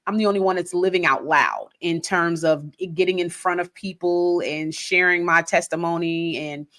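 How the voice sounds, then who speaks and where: A middle-aged woman hosting a podcast, interviewing a guest in an expressive, chatty way. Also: fairly low pitch, moderate pace. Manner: expressive, animated storyteller. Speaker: podcast host. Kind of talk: conversational interview.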